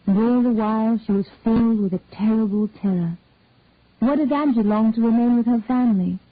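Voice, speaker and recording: A woman's voice: a little high, a little fast, no room sound. Woman reading a story, tinny narrowband sound. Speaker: audiobook reader. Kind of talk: scripted story narration.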